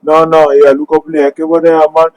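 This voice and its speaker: A man plays a crafty, greedy street food seller from a cartoon morality tale. His voice sits fairly high for a man, with a stubborn, defensive hawker's patter. The recording is close and dry. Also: completely flat delivery, fairly quick, no room sound, muffled recording, lightly acted. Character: greedy, dishonest male street snack vendor.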